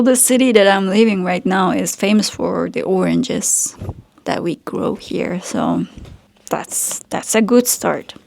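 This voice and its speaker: Slow, gravelly-voiced Turkish woman musing aloud. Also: fairly high pitch, a little hiss or hum. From Turkey. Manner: hesitant, musing, drifts into soft whispered asides. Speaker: vlogger. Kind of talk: casual thinking-aloud vlog. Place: at home, sitting on the floor beside a heater.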